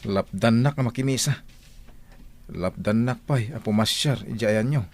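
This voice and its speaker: Man playing worried father, gentle, prayerful, patient, mid-pitched voice, audio drama. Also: a little slow, natural voice. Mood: resigned. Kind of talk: scripted Filipino audio drama.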